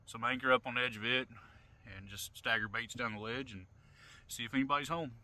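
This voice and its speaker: A man's voice: mid-range voice, steady tone, fairly quick, bright, forceful tone. A man in his thirties with an American accent, talking casually and folksily in clipped phrases as a fishing vlogger. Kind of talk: casual outdoor fishing vlog. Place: open boat on a wide river, outdoors. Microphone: camera at arm's length or across the boat. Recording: outdoor wind and water noise, often distant.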